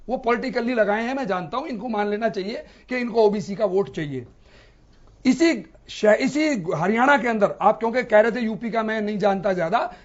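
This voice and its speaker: A man arguing as a TV debate panelist, point by point, challenging with yes-or-no questions. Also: sits high. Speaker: debate panelist, political commentator. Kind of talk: argumentative TV debate talk. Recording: dull, band-limited broadcast audio.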